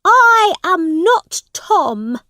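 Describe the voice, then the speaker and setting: A woman's voice: very high-pitched, very animated, extremely slow, pushed and bright, dry recording, clean studio sound, pitched above the performer's own voice, full character voice. A woman voicing a proud, distressed young prince in a storybook, exclaiming plaintively and imperiously. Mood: proud, insistent.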